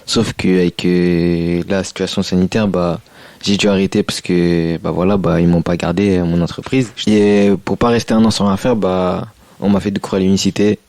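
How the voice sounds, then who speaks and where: A twenty-year-old man giving an informal interview answer in a hesitant, halting way. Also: low voice, level tone, a little mellow, no room sound. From born in Portugal, grew up near Paris from age six. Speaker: civic service volunteer.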